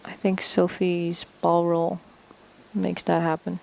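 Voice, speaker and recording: Low, creaky woman sports analyst. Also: monotone, takes it slowly, a little mellow. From Netherlands. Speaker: bowling commentator. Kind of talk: live sports analysis with casual chat. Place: bowling championship venue. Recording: noisy hall, crowd behind. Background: bowling crowd.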